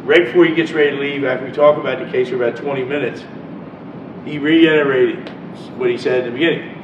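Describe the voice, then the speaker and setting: A man with a froggy voice, just over bronchitis, giving an animated storytelling talk as a guest speaker in a university lecture hall. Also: mid-range voice, fast talker, background noise. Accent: Maryland. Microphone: far room mic. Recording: distant, muffled, echoey hall recording.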